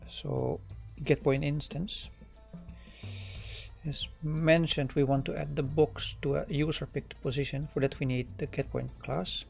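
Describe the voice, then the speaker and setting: A middle-aged man with a Dutch accent explains code on a live stream at a slow, unhurried pace. His voice is gravelly, and he stops often with fillers and restarts. Faint music plays behind him at his desk. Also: on the high side. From Netherlands. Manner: relaxed, hesitant with many fillers and restarts. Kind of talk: live coding stream, thinking aloud.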